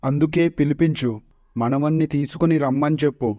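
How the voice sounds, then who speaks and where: A man's voice: on the high side, fairly even intonation, brisk, dark tone, no room sound, natural voice. A man voicing royal figures in a comic cartoon parody, in dramatic dialogue with a muffled, dry recording. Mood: scheming, aggrieved.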